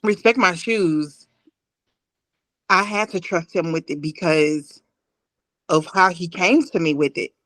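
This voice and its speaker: A woman's voice: on the low side, slow pace, long pauses, a bit bright, no echo. A woman in her forties talking earnestly and emotionally as a guest in a heartfelt interview on a video call. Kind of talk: heartfelt personal interview talk.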